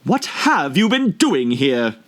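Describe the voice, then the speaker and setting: A man voicing a furious, domineering queen in a storybook, shrill and loud, shouting imperiously. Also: sing-song, slow pace.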